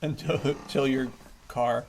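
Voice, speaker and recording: A man's voice: mid-range voice, fairly even intonation, measured pace, creaky, darkish tone, poor audio. A man hosting a podcast in casual, chummy chat, enthusiastic and often chuckling. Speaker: podcast host. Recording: faint background noise.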